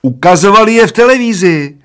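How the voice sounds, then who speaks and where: Shrill, excitable little old man. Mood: shrill insistence. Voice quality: shrill, screechy. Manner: yelling, whining, insistent. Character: tiny excitable old man, shrill stubborn pub bickerer.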